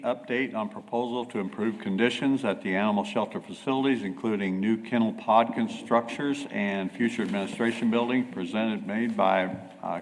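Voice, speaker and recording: A man chairs a public committee meeting, moving procedurally through the agenda. His voice sits fairly low. The livestream carries a long hall reverb. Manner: procedural, reads agenda items. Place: council meeting room.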